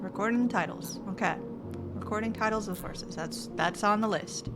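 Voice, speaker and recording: A woman's voice: medium pitch, normal speed. Chatty woman streamer with game music. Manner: chatty, playful, talks to herself. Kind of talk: playing a game live. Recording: game music loud under voice.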